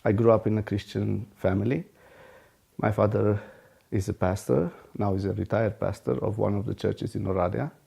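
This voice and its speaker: Man, Romanian accent, low mellow gravelly testimony. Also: fairly even intonation, moderate pace. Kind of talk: personal testimony.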